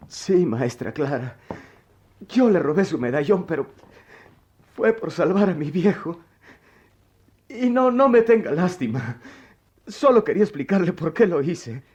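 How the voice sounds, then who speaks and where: Casting: troubled young Mexican ex-pupil, proud yet remorseful, highish voice with wide swings, halting radio melodrama. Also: male voice, big pitch swings, a little mellow, husky, exaggerated voice. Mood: agitated, remorseful. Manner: halting, colloquial, emotional speech. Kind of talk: melodramatic radio soap acting.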